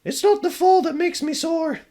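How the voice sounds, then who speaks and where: A man doing a high gentle ghost girl. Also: normal speed. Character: young female ghost girl, gentle and forgiving.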